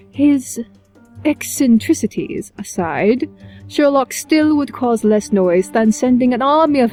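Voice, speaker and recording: A woman's voice: on the high side, dark tone, natural voice. A woman voicing a cool, formal pony official with a dry, businesslike way of talking in an audio drama. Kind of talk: scripted audio drama acting.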